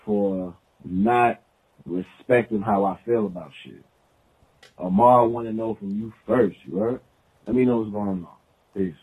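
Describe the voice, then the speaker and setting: A man's voice: lowish voice, measured pace, long pauses, a little mellow, slightly rough, low-quality recording. A man leaving a blunt, streetwise voice message as a caller. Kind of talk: casual voice message.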